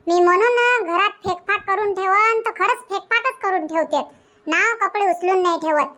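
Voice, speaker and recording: A performer voicing an excitable, complaining young village wife and mother in a Marathi cartoon comedy, with a childlike voice and a Varhadi accent from Vidarbha. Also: extremely high pitch, moderate pace. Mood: indignant, nagging. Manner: nagging, grumbling, exclamatory.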